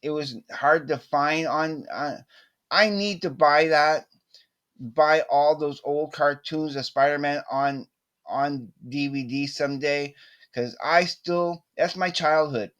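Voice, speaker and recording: A middle-aged man with a slightly higher-pitched voice talks casually to the camera. He rambles and often stops to restart a thought, with open enthusiasm for what he shares. It has the feel of an informal home vlog. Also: lo-fi sound. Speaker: vlogger showing a cassette collection. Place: small indoor room with poster-covered walls.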